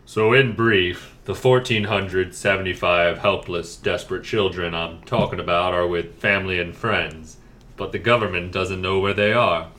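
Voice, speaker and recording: A man playing a solemn expert in a forced low voice for a scripted comedy explainer. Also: steady tone, normal speed, bright, forceful tone.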